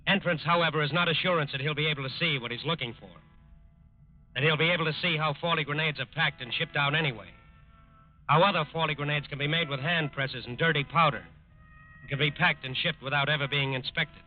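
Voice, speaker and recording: An actor narrating a 1940s radio crime drama in a measured, emphatic, formal style. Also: male voice, fairly high pitch, soft background music, close to an everyday voice. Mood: solemn, dramatic. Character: authoritative, solemn male crime drama narrator. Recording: tinny old-time radio broadcast.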